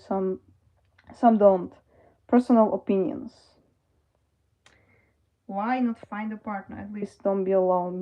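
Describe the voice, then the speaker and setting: A young woman livestreaming, reading chat messages aloud and answering them hesitantly, with many pauses and fillers. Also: medium pitch, dull audio.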